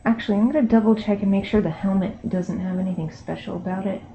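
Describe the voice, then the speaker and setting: A woman's voice: mid-range voice, fairly even intonation, fast talker. A woman live-streaming her digital painting from her bedroom, chatting casually and talking to herself as she works. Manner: chatty, laughs often, talks to herself while working. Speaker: digital artist livestreaming. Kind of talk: casual chat while drawing. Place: bedroom at home. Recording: dull lo-fi stream audio with faint hiss.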